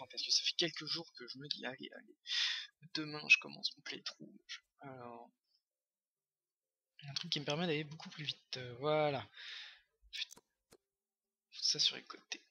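A hoarse young man chatting casually over his own gameplay, excitable and full of fillers. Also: on the high side. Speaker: gameplay commentator. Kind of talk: casual let's-play commentary.

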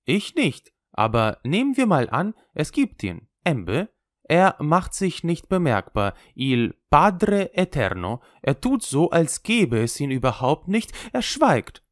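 A sly old conjurer with an Italian accent, worldly and cynical, mocking everything he has seen, as a man puts him on. His voice leaps and dips with lively expression. The sound is dry and close. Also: on the high side, slow pace, dry recording, pitched above the performer's own voice. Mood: dismissive.

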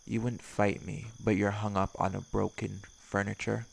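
A man's voice: fairly low pitch, little pitch movement, moderate pace, gravelly, a little hiss or hum. A man voicing a brash, menacing werewolf in an audio roleplay, speaking in a blunt, curt, sardonic way. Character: brash, menacing male werewolf hunting his quarry. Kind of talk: scripted audio roleplay acting.

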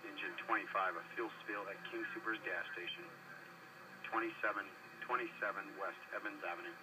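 Low-voiced male dispatcher on noisy radio. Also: fairly even intonation, fairly quick, far from the mic. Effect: radio scanner. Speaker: fire dispatcher and radio responder. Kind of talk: formulaic emergency dispatch. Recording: noisy fire radio through scanner speaker.

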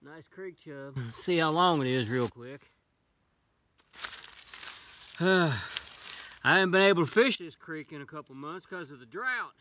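A man's voice: fairly high pitch, expressive, unhurried, pauses often, pushed and bright, slight background noise. A middle-aged man from West Virginia, hosting a fishing vlog in easygoing running commentary by a creek, filmed on a phone. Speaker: fishing channel host. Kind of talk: casual outdoor fishing vlog. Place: outdoors by a small wooded creek. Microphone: phone camera, sometimes set far off.

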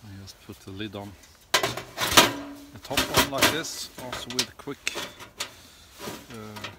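A middle-aged man casually demonstrating outdoor gear in a halting, unscripted way, recorded on a camera in a tent and snowy woods. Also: lowish voice. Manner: hesitant, halting step-by-step explaining. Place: snowy forest and inside a tent. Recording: clearly noisy camera audio with clicks.

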